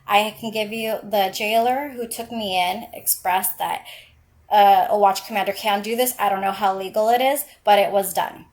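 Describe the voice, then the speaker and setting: A woman's voice: mid-range voice, steady tone, moderate pace. A woman calling to file a complaint on a recorded phone call, speaking in an insistent, persistent way. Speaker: caller filing a complaint.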